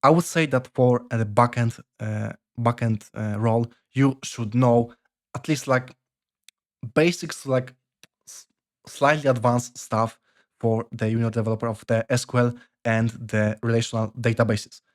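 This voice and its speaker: Young Polish-accented man, casual vlog advice, stops and restarts his sentences, ordinary pitch. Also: fairly even intonation. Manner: halting, many fillers and restarts. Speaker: software developer vlogger.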